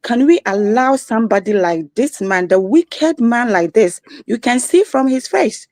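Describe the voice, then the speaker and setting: A Ghanaian woman calling in to a discussion, speaking in a halting, aggrieved way. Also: a little high, lively intonation, darkish tone, dry recording, clean studio sound. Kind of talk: casual discussion.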